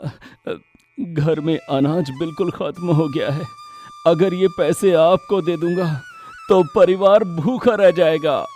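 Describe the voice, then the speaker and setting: A man playing a simple, worried, timid village farmer with a hesitant, humble manner in an animated story. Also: a little high, slow, soft background music. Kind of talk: animated Hindi moral story dialogue.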